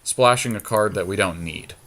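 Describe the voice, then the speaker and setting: A man's voice: lowish voice, normal speed, a crowd in the background. A man talking casually and thinking aloud as he commentates on a card game draft in colloquial speech. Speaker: podcast host, card game player.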